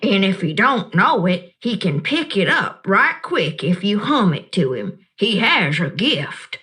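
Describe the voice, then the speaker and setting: Warm old storyteller, unhurried drawl, storybook reading, voiced by a woman. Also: medium pitch, very animated. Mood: folksy. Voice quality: slow, drawling, folksy. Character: old Southern woman, kindly, wise storyteller.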